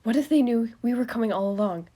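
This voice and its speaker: A woman voicing an anxious young man who spirals into panicked questions and angry shouting, her voice husky. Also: a little high, fast, pitched above the performer's own voice.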